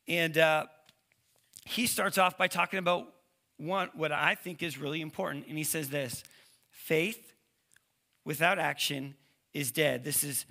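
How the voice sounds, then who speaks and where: A man's voice: fairly high pitch, creaky, pushed and bright. A man with a lisp giving a casual, conversational sermon as a preacher, with informal, slangy storytelling. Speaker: preacher, youth ministry leader.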